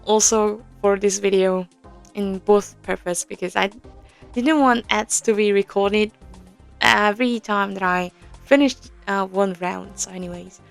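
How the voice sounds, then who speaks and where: Young woman, mid-pitched, slow and halting, casual game tutorial host with nervous little laughs. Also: steady tone, gravelly, clean tone, soft background music. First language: Korean. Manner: hesitant, many fillers, soft nervous laughs. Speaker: audio game tutorial presenter.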